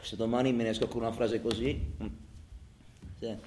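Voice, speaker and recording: An actor playing a bitter, cynical prisoner in a colloquial radio theatre monologue, muttering to himself and breaking into loud outbursts. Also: male voice, mid-range voice, little pitch movement, normal speed, a little hiss or hum, close to an everyday voice. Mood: sardonic. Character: cynical, bitter male convict musing in his cell. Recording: roomy, echoing sound.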